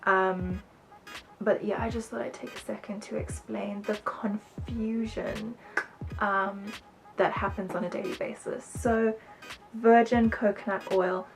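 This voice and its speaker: A woman's voice: medium pitch, steady tone, unhurried, soft background music, rough recording. A woman with a mostly British accent touched with South African and American, chatting casually and ramblingly to camera as a beauty vlogger. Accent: mostly British with slight South African and American touches. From born in the UK, raised as a teenager in South Africa. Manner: rambling, chatty, self-conscious asides.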